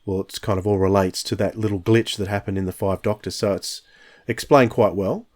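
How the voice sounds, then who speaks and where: A man's voice: fairly low pitch, fairly even intonation, brisk, noisy background. A man hosting an audio drama podcast, asking questions and chatting casually. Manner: inquisitive, asks leading questions. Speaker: podcast host. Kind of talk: casual chat.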